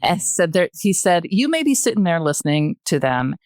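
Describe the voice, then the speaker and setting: Older lady with a low voice, thoughtful and halting, podcast chat, close dry sound. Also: big pitch swings, darkish tone, no room sound. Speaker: podcast guest, retired journalist and producer. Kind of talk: conversational podcast storytelling. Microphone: close podcast microphone.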